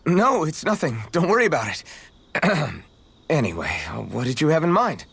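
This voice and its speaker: A man playing an earnest young swordsman in a video game, gentle in manner and awkward when flustered. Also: fairly high pitch, wildly swooping pitch, normal speed, husky, heavily acted. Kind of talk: video game cutscene acting.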